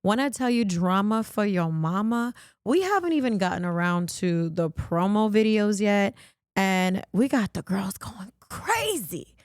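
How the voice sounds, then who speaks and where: A woman's voice: medium pitch, sing-song, unhurried, dry recording, clean studio sound. A woman hosting a podcast with casual, gossipy talk in a brash, sassy, slangy manner. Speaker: podcast host.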